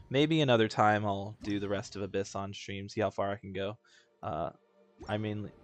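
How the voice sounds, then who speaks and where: A young man streaming a game, chatting casually and joking at himself, with the odd curse. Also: lowish voice. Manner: laid-back, self-mocking, swears casually. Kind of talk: casual gameplay commentary.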